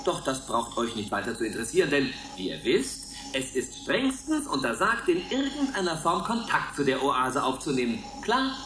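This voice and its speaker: Smug chirpy supervisor, lively high voice, falsely jovial, officious, radio drama, roomy sound with faint noise. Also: male voice, moderate pace, few pauses. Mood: smugly cheerful. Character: chirpy, patronizing male overseer of confined elderly people. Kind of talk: radio play acting.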